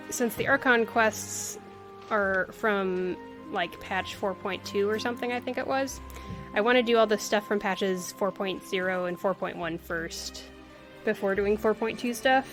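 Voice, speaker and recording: A woman's voice: medium pitch, unhurried. A woman giving casual livestream commentary as she plays a video game, with playful exclamations and quaint interjections. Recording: game music behind the voice.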